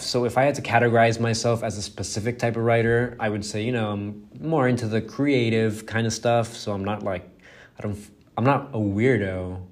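Young guy, spanish is his first language, rambly self-deprecating podcast talk, lots of "um" and "you know". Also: lowish voice. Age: young adult. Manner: rambling, self-deprecating, swears freely, many fillers. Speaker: podcast host. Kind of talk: casual solo podcast monologue.

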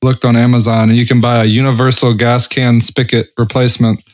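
A man's voice: medium pitch, flat delivery, fairly quick, dry recording. A man with a mid-range voice talks casually as a podcast co-host. He explains things in a salty, colloquial way with mild exasperation. The recording is dry and free of room sound. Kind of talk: casual chat.

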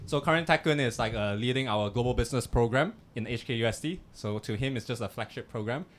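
A man's voice: medium pitch, quick pace, a little hiss or hum. Upbeat young man hosting a podcast. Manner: upbeat, loose, many "you know" and "like" fillers. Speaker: podcast co-host.

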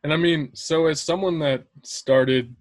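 A man's voice: a little high, measured pace, no room sound. A man co-hosting a casual podcast, asking questions hesitantly with lots of fillers. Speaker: podcast co-host.

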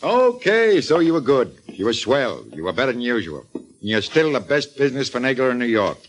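A beefy, blustering American racketeer from a 1940s radio crime show, played by a male actor. He talks in gruff slang with a very lively, jumping pitch. The vintage recording is faintly noisy and clicky. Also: brisk, natural voice. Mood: brusque, dismissive. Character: big beefy blustering male racketeer, evasive under pressure. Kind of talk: 1940s radio drama acting.

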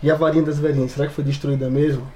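A man's voice: a little high, level tone, racing, warm tone. A man with a Brazilian Portuguese accent chatting casually as a podcast co-host and game master.

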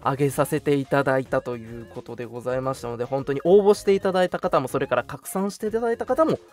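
A man's voice: medium pitch, fast. Courteous young male radio host over music. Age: young adult. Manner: courteous, earnest, conversational. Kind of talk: polite online radio announcements.

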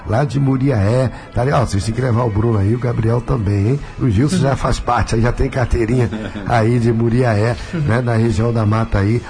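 A man's voice: medium pitch, steady tone, moderate pace, hardly any pauses, a little mellow. A man with a Carioca accent hosting a radio talk show as a pastor, talkative and full of tag questions. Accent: Carioca Brazilian Portuguese. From Rio de Janeiro, Brazil.

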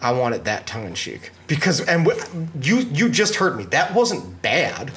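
A man, a musician, co-hosting a casual studio chat with animated joking and bits of improvised singing. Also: fairly high pitch, moderate pace, breathy edge. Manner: animated, jokey, breaks into short sung bits. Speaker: podcast co-host, musician.

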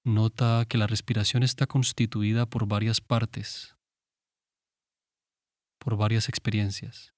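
A man guiding a meditation in a calm, gentle, unhurried manner with pauses. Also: on the low side, no echo, studio quality. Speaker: meditation guide. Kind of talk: guided meditation.

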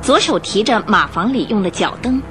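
Female storyteller for a mystery radio play, expressive and animated, mid-pitched, over a music bed. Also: normal speed. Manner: expressive, suspenseful. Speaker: narrator. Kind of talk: dramatic scripted storytelling for radio drama. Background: music under the voice.

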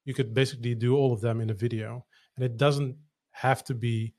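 Dutch host, thoughtful interviewer, mid-pitched voice, dead dry mic. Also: male voice, steady tone, brisk, a little mellow, dry recording. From Netherlands. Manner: thoughtful, searching for words. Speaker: podcast host. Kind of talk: casual interview chat.

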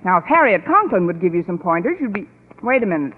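An actress playing a wry, sarcastic, quick-witted woman schoolteacher in an old-time radio comedy. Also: on the low side, big pitch swings, breathy edge. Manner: dry, sarcastic wisecracking. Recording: dull, noisy old radio recording, studio audience.

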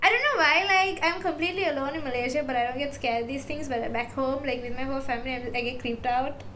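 A woman's voice: high voice, fast talker, a bit bright. A young woman from Sri Lanka co-hosting a casual chat about folklore, talking loosely with lots of "like". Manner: chatty, heavy use of "like". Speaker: podcast co-host. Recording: faint background noise.

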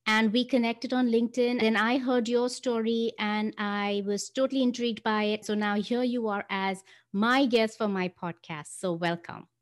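A woman hosting a podcast interview who introduces her guest in a measured way and slows down on the words she stresses. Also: fairly high pitch, fairly even intonation. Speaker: podcast host.

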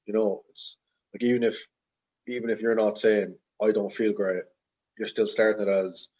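A somewhat dull, lo-fi recording of an Irish man in his twenties. His voice is a little low and slightly husky, and he rambles along informally like a relaxed podcast guest. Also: normal speed. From Ireland. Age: 20s. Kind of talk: casual personal chat.

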